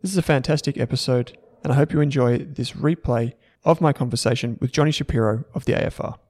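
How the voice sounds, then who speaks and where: A man's voice: medium pitch, fast, a little mellow. Australian man hosting a finance podcast. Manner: chatty, storytelling with fillers. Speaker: podcast host. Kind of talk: podcast interview with read ad.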